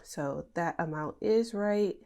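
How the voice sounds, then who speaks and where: A young woman whose voice sits a little low, sounding nasal and stuffed up from a cold. She talks in a relaxed, chatty way, with plenty of fillers, like a host talking through her planner. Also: slow, gravelly. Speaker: budgeting channel host. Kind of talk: casual talk over budget planning.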